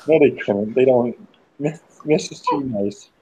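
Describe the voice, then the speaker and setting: A man's voice: mid-range voice, dark tone, dull audio, slight background noise. A man hosting a casual voice chat stream, teasing his friends and swearing a lot.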